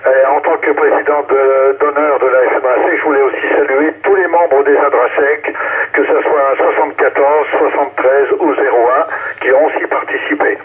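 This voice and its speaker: Male radio amateur, medium pitch, formal club-president address through a very narrowband transmission. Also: fairly even intonation, moderate pace. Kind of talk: formal greeting speech on a radio net. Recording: narrowband, phone-like ham radio relay.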